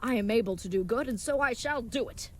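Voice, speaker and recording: Audio drama hero played by a woman: young, naive, gallant leatherworker, quick formal delivery, faint noise behind. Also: a little high, natural voice. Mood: earnest, reassuring. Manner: formal, contraction-free, chivalrous, grandly earnest speech. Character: earnest, brave, naive young leatherworker playing knight, cheerfully oblivious. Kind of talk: scripted audio drama acting.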